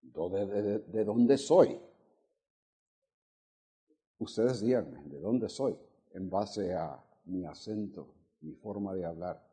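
An older man from Honduras, his Honduran accent softened by decades abroad, teaches scripture to a congregation. His voice sits low and mellow, and he moves at an unhurried pace, stretching important words. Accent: Honduran Spanish, faded. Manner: measured, stretches key words, asks the congregation questions. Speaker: guest preacher. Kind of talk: Bible teaching sermon. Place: church sanctuary.

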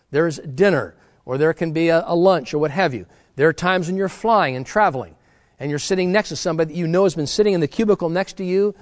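A man's voice: a little high, dry recording, clean studio sound. Earnest middle-aged man preaching. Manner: earnest, exhorting, repeats words as he restarts. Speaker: pastor. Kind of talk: sermon.

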